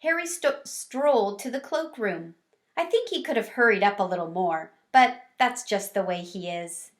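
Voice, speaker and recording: A woman reading a children's storybook aloud in an expressive, animated way. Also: medium pitch, normal speed. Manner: animated, with varied character lines. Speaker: read-aloud teacher or storyteller.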